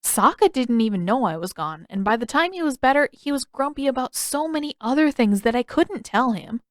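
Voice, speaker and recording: Woman doing an earnest young boy, high voice. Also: expressive, brisk, no echo, studio quality. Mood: insistent. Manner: hesitant, defensive.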